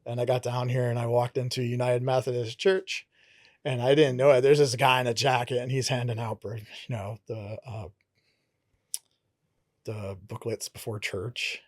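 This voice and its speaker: A man's voice: medium pitch, level tone, moderate pace, dry recording. Pastor, male, Connecticut accent, earnest and reflective, dry close sound. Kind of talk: casual interview talk.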